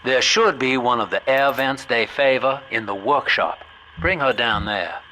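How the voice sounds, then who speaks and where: Bright, folksy Southern American hustler. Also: male voice, medium pitch, a little slow, slight background noise, low-quality recording. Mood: brisk, urging. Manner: folksy salesman patter, avuncular. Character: folksy, scheming male businessman, smooth opportunist guide. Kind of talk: video game voice acting, radio guidance.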